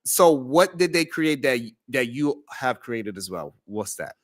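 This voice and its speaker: A man's voice: medium pitch, sing-song, unhurried, no room sound, studio quality. A man hosting a live call-in talk show, who keeps breaking in to restore order and speaks bluntly with swearing. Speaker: host.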